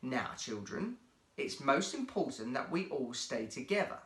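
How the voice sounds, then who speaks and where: A man's voice: mid-range voice, big pitch swings, measured pace, a bit bright. A man voicing an organised mother supervising schoolchildren in a children's story, instructive and precise. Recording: roomy, echoey sound.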